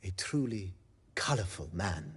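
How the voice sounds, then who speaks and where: An actor playing a formal, refined majordomo of a wine estate in a video game, deferential and given to telling tales with flourish. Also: male voice, low voice, lively intonation, a little slow, husky, full character voice. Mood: storytelling relish. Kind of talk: video game character acting.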